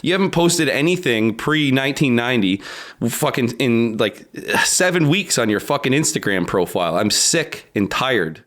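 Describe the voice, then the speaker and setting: A man's voice: medium pitch, lively intonation, moderate pace, a bit bright. A man co-hosting a casual podcast over a remote call, laughing often, swearing freely and rambling. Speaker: podcast co-host.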